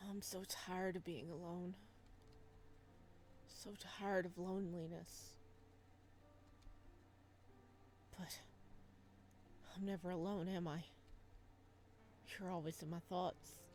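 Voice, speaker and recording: A woman's voice: on the low side, level tone, slow, pauses often, a little mellow, a little hiss or hum. Woman voicing wounded, sardonic young male prisoner. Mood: lonely, scared.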